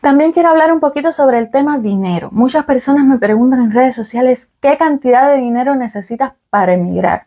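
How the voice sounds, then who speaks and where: A woman's voice: high voice, brisk, hardly any pauses, warm tone. A young adult woman from Cuba with a Cuban Spanish accent, talking casually to camera in a vlog, explaining with fillers and "ok?" check-ins. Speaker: vlogger.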